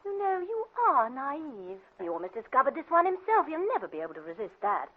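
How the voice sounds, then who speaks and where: Pert English secretary, high and quick. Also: female voice, very animated. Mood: wry. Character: pert, wry female detective's secretary. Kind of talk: classic British radio drama acting. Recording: dull vintage radio recording.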